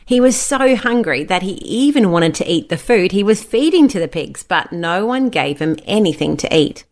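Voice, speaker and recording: A woman reads a Bible story for children. Her mid-pitched voice moves in big, animated swings, especially when she voices what the characters say. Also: moderate pace. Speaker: storyteller, a mother. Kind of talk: expressive scripted children's Bible story narration.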